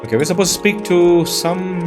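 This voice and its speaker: A man's voice: high voice, expressive, fairly quick, over music. A man giving casual game commentary, musing aloud and exclaiming at the sights. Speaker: let's player and show host.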